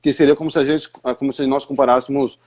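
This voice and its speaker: A man's voice: medium pitch, level tone, fast talker, a little mellow. A Brazilian man, an English teacher, explaining pronunciation in a live stream conversation, often asking rhetorical questions. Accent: Brazilian Portuguese.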